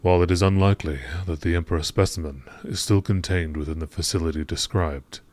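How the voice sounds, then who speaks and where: A man reading scripted narration in a measured, formal nature documentary style. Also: low voice, fairly even intonation, a little mellow, dry recording. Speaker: gaming channel narrator.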